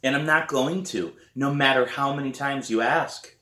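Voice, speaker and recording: A man's voice: medium pitch, normal speed, bright, forceful tone, roomy sound, voice pushed up, natural voice. A man voicing a determined, guarded young teen dealing with a younger sibling in a storybook reading. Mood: exasperated. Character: determined, exasperated older sibling, music-obsessed young teen drummer.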